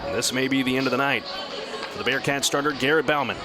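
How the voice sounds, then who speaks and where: A man doing live radio baseball play-by-play in measured, descriptive, clipped phrases. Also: medium pitch, over music, a crowd in the background. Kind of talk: live radio sports commentary. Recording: clear steady outdoor ballpark noise.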